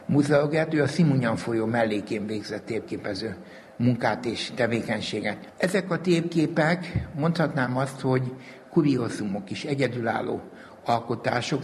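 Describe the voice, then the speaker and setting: A man's voice: medium pitch, a little mellow. A man giving a formal, bookish account as an interviewed museum director. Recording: long hall reverb, faint noise.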